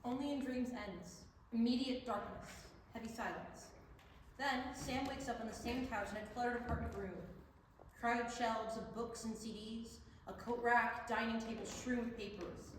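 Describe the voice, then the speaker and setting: A woman's voice: a little high, fairly even intonation, moderate pace. A woman giving scripted narration as the reader of stage directions at a play reading. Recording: very echoey hall, distant mic, faint noise.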